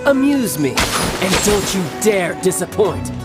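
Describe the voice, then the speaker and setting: A man's voice: high voice, sing-song, slow pace, heavily acted. Cocky young male game villain, battle-hungry, theatrical and taunting, over a prominent music score. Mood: exhilarated taunting. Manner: theatrical, taunting, playfully menacing. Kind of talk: English video game voice acting.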